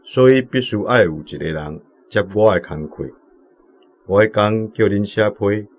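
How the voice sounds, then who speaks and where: A man's voice: fairly low pitch, highs cut off, lightly acted. A man playing an earnest, middle-aged village letter-writer in a radio drama, with a Taiwanese accent and an instructing manner. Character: respected village letter-writer uncle near fifty, earnest elder.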